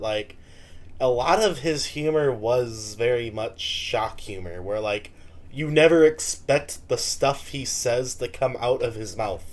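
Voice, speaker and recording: Casual American man reacting to a clip. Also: mid-range voice. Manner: casual, slangy and swearing. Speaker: reaction video host.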